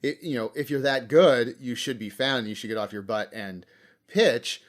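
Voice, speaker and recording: A man's voice: mid-range voice, normal speed. An American man hosting a casual interview, with informal phrasing that halts and restarts as he builds his questions. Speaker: podcast host, interviewer.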